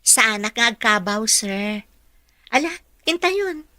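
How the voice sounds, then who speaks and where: A woman's voice: a little high, lively intonation, measured pace, pushed and bright, no room sound, clean studio sound. A woman playing a polite, deferential young housekeeper in a radio drama.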